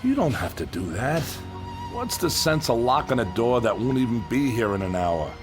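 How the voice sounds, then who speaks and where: An actor playing a blunt but well-meaning working-class demolition contractor in a radio drama, talking colloquially and insistently. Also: male voice, mid-range voice, big pitch swings, normal speed, lightly acted. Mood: exasperated. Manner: colloquial, streetwise, insistent, pleading to be understood. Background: music bed.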